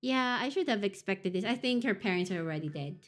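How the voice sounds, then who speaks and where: A woman's voice: mid-range voice, expressive, brisk. A woman streaming a horror visual novel, reading it aloud and reacting with frequent giggles and surprised swearing. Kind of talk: live game stream commentary and reading aloud.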